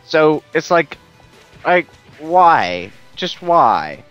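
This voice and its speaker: A man's voice: on the high side, big pitch swings, extremely slow, a bit bright, dull audio. A man giving joking, casual commentary on a video game in a rambling, exasperated manner. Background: game music.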